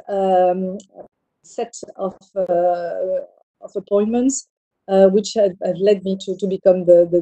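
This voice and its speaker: Middle-aged Belgian executive woman, deep mellow tone, halting answers full of fillers. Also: rough recording. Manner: hesitant, many fillers and restarts. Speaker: bank CEO guest. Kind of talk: interview answers.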